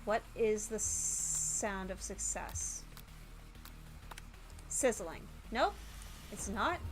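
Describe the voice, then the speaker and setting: A woman's voice: medium pitch, animated, extremely slow, faint music behind, rough recording. A woman doing live game stream commentary, reading on-screen text aloud and breaking into exasperated exclamations. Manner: exclaims loudly in exasperation, mutters while reading. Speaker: VTuber streamer.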